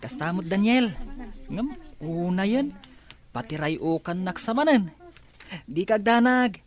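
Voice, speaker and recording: Mild mid-pitched Ilocano schoolgirl played by a woman. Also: expressive, a little slow, close to an everyday voice. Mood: friendly, placating. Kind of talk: Ilocano radio drama acting.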